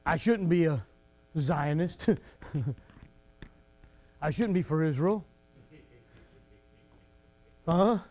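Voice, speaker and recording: A man preaching a folksy sermon to a congregation, joking and laughing between lines and breaking into shouts at his high points. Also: extremely slow, pauses often.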